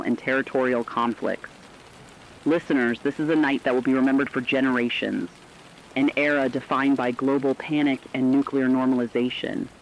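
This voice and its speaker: A stern man acting as a war correspondent with a mid-pitched voice. His scripted report is solemn and emphatic. It comes through dull, lo-fi radio sound with rain behind. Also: a little hiss or hum, close to an everyday voice. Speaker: news correspondent.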